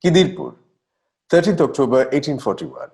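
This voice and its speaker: A man's voice: mid-range voice, big pitch swings, normal speed, gravelly, darkish tone. A man acting a melodramatic, effusive young poet. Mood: effusive, dramatic. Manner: florid, impassioned, declamatory. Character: effusive, melodramatic young 19th-century male poet writing letters. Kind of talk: theatrical dramatic letter reading.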